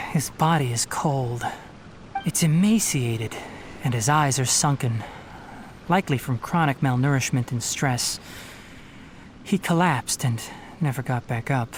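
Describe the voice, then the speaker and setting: An actor playing a calm, observant young male researcher in a video game, measured and matter-of-fact. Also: mid-range voice, a little hiss or hum, natural voice. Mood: grave, analytical. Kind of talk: video game character acting.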